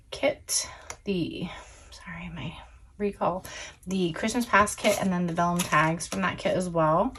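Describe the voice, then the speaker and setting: A hoarse woman recovering from illness, chatting casually as she shows her craft supplies at her desk, rambling with fillers and self-corrections. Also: lowish voice, background noise. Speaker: scrapbooking crafter showing supplies. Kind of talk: casual show-and-tell chat.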